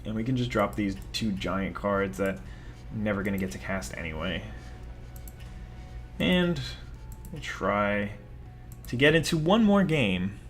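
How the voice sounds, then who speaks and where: A man's voice: fairly low pitch, expressive, measured pace, pauses often, soft background music. Chatty young man narrating his card game. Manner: rambling, self-mocking, chatty. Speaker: gaming video host. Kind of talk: casual gameplay commentary.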